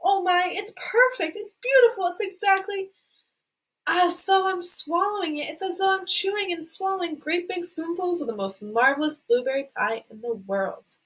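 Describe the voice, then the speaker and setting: A woman's voice: a little high, very animated, moderate pace, hardly any pauses, a bit bright, far from the mic, low-quality recording. A woman voicing a spoiled young girl who talks in a bratty, gushing way.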